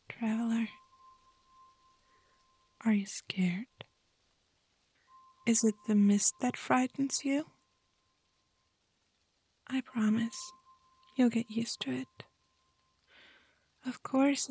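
Actress as menacing young gothic sister guarding a manor. Hushed, dark-toned, breathy whisper, taunting ASMR horror. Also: female voice, a little high, full character voice.

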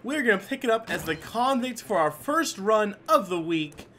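A man hosting a gaming video with animated let's play commentary, talking to himself and to the characters on screen. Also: sits high, moderate pace, slight background noise. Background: video game music.

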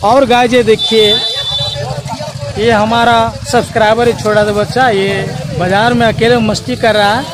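High-pitched man vlogging outdoors. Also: flat delivery, normal speed. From Jharkhand, India. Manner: mixes English words into Hindi. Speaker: vlogger, host. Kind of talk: casual on-the-spot market commentary. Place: busy open-air village market. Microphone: handheld phone at arm's length. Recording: outdoor crowd noise with music behind.

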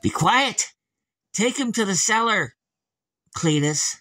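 A man's voice: high voice, animated, takes it slowly, sharp tone, dry recording, clean studio sound, voice pushed up, heavily acted. A man voicing a creepy, child-eating old woman in a bedtime horror story, coaxing and sinister in a sugary way. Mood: bossy, menacing.

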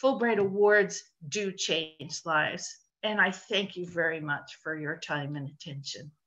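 A woman with a bright, crisp voice presenting over a video call. She speaks in an earnest, measured way. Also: on the low side, lo-fi sound. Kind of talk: conference presentation.

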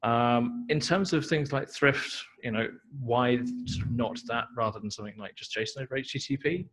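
A man's voice: medium pitch, normal speed, a bit bright, rough recording. A man answering audience questions at a tech meetup, casual and explanatory, full of "you know" and "sort of". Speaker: tech talk co-presenter. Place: meetup talk venue indoors.

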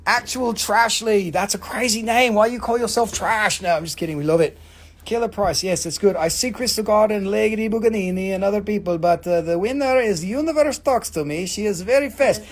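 A man hosting a live rock sale, joking, swearing and rambling in fast auction patter. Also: high-pitched, slightly rough. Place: mineral shop indoors.

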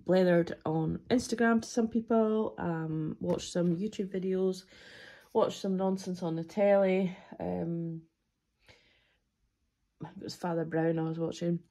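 A woman's voice: lowish voice, steady tone, a little slow, warm tone. A Scottish woman rambling casually to her channel about her weekly budget, sounding chesty as she comes down with a cold, filming at a table indoors. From Scotland. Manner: rambling, friendly, many ums. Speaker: budgeting vlogger. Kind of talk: casual chatty to-camera talk.

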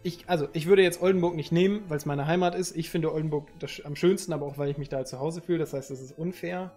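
A man's voice: a little high, steady tone, fast, darkish tone, soft background music. A man, chatting casually and jokingly with his stream viewers through a headset in a room at home. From Northern Germany. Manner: loose and joking, reads chat aloud, slangy.

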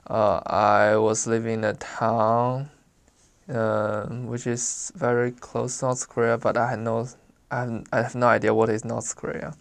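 A young man from China speaks accented English as a second language, pausing often to find his words and laughing easily. His voice is gravelly with a flat, even pitch. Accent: Chinese. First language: Chinese. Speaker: interviewee. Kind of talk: casual life-story interview.

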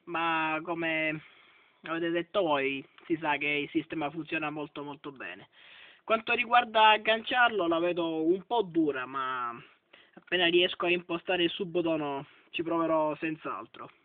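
A man's voice: fairly high pitch, measured pace, no room sound. A man chatting as a radio operator, using call signs and on-air procedure. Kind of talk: radio contact chat with call-sign sign-offs. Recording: tinny phone-like sound, loud radio noise.

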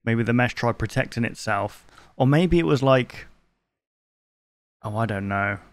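A man's voice: mid-range voice, lively intonation, unhurried, no echo. A man in his twenties giving casual reaction commentary as a YouTube host, close to a desk microphone in a bedroom. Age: 20s. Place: bedroom, indoors.

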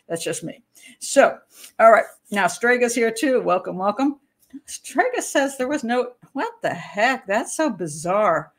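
An older woman with a slightly hoarse voice, hosting a livestream from home into a webcam. She chats in a loose, rambling way, breaks off mid-thought and laughs easily. Her pitch sits at an ordinary middle height for a woman. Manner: chatty, rambling, self-interrupting, quick to laugh. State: hoarse, clearing throat. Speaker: host, criminal profiler. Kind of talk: casual livestream talk.

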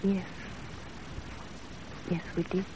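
An actress playing a gentle, fatalistic young woman in love in a 1930s radio drama. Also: extremely slow. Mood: hushed, tender. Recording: noisy, dull 1930s radio recording.

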